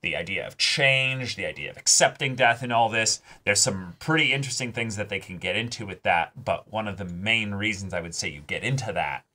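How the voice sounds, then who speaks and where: A man with a low-pitched, forceful voice in a casual reaction-show discussion. He speaks emphatically, repeating words as he builds a point and pausing for dramatic weight. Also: expressive. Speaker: co-host of an anime reaction show. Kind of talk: casual discussion of an anime episode.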